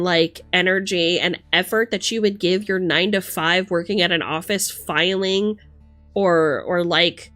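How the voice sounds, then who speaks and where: A woman's voice: fairly low pitch, a bit bright. A woman hosting a livestream, talking casually and emphatically with plenty of swearing as she rants and chats with commenters. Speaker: livestream host.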